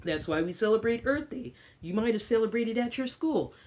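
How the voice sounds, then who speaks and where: A woman's voice: on the high side, lively intonation, normal speed, a little mellow, a little hiss or hum. An American woman hosting a children's story time, speaking in a teacherly way with simple short phrases and questions to her listeners. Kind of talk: story time talk and read-aloud for children.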